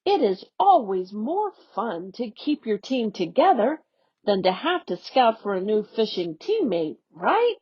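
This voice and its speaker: A woman on an education staff reading a youth newsletter aloud in a slow, expressive storybook manner. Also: mid-range voice, slightly rough. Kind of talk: read-aloud for young learners. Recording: dull lo-fi audio.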